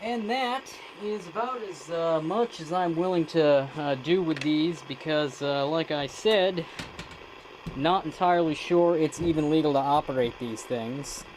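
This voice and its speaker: A man giving a casual, off-the-cuff demonstration as a YouTuber, talking haltingly in short phrases. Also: on the high side, a little mellow, a little hiss or hum, rough recording. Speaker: YouTuber demonstrating a vintage CB radio.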